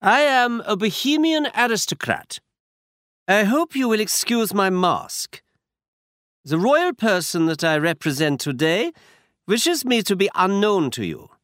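Male reader doing a towering masked king with a German accent, formal and stiff, voice pushed up high and swooping. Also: big pitch swings, unhurried, fairly bright tone, dry recording, clean studio sound, full character voice. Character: foreign nobleman, huge masked king, anxious. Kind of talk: audiobook character reading.

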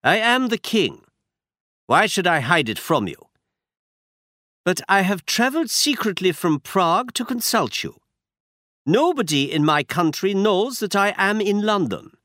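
Male reader doing a towering masked king with a German accent, formal and stiff, voice pushed up high and swooping. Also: big pitch swings, measured pace, sharp tone, no echo, clean studio sound, full character voice. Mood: urgent. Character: foreign nobleman, huge masked king, anxious. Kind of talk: audiobook character reading.

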